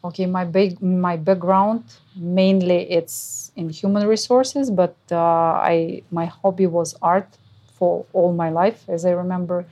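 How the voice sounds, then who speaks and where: Female guest, Russian accent, slightly low voice, careful explainer. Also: fairly even intonation, a little slow, dark tone. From Ukraine or Russia. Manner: non-native phrasing, careful explanations. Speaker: art consultant guest. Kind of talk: interview answers.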